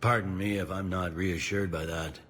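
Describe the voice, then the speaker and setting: An actor playing a gruff, plain-spoken middle-aged police commander in a video game cutscene. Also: male voice, low-pitched, steady tone, normal speed, a bit bright. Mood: grim, matter-of-fact. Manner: blunt, colloquial, no-nonsense.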